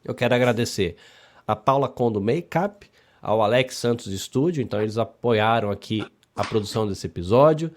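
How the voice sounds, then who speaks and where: A man's voice: on the low side, lively intonation, normal speed. A man with an interior São Paulo accent, hosting a live podcast in a chatty, upbeat way and repeating his phrases. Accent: interior São Paulo Brazilian Portuguese. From Brazil. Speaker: podcast host. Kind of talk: casual live podcast chat.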